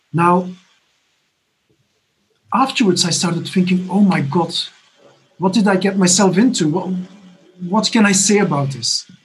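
A man in his fifties from Belgium giving a personal recovery testimony from his apartment, halting and earnest with many restarts. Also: high voice, low-quality recording. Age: 50s. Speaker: recovery conference speaker. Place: home apartment.

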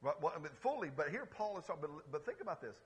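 A pastor preaching to his congregation in a lively, conversational way, calling out for responses and swinging between loud exclamations and quiet asides. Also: on the high side, fast, husky. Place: church service before a congregation.

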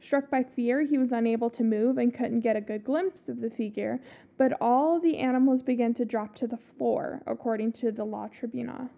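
Podcast co-host, woman, raspy sick voice, tinny phone-like sound, chatty and profane. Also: on the high side, fairly even intonation, normal speed. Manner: swears a lot, stumbles over foreign names. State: sick, scratchy voice. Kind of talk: casual chat reading a story.

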